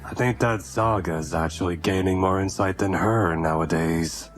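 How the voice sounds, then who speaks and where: An actor in an audio drama playing a gruff, dry-witted barkeep with a curt, grudging way of talking. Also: male voice, sits low, fairly even intonation, normal speed, soft background music, lightly acted. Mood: wry, hesitant. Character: gruff, dry, grumbling male fantasy barkeep.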